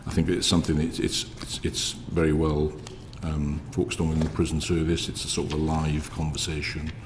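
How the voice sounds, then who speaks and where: Very low, flat male official, hesitant procedural delivery, roomy hall reverb. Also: fairly quick. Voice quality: very low, quiet voice. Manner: hesitant, filler-heavy, procedural. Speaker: prison service official giving evidence. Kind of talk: formal evidence to a committee. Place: parliamentary committee room. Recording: reverberant hall with faint noise.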